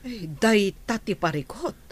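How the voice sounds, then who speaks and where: An actress plays a woman from a farming family. She talks hesitantly with an Ilocano accent at a middling pitch. The delivery is radio drama. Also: animated, a little slow, husky. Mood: hesitant, sympathetic.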